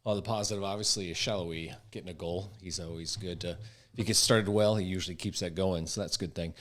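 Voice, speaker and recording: A man's voice: low-pitched, steady tone, fast, fairly bright tone. A man giving casual sports analysis as a podcast panelist. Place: in person around a table with several mics.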